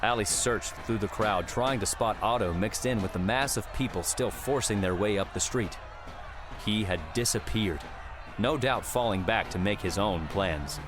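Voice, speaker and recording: A man's voice: fairly low pitch, normal speed. A man reading scripted third-person fiction as an audiobook narrator. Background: prominent music with outdoor ambience.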